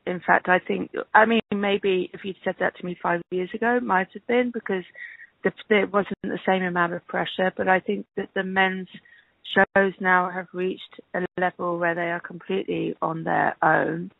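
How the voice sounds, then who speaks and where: Female interview guest, gravelly fry, hesitant and conversational, heard down a narrow telephone line. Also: fairly low pitch, level tone, normal speed, dry recording. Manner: hesitant, thinking aloud. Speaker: interview guest, casting director. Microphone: telephone call. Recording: tinny, band-limited phone line.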